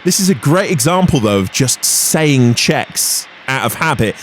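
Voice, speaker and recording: A man's voice: medium pitch, animated, unhurried, rough recording. Chatty man over aircraft engine noise. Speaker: pilot and vlogger. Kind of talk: casual flight commentary and radio calls. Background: aircraft engine hum.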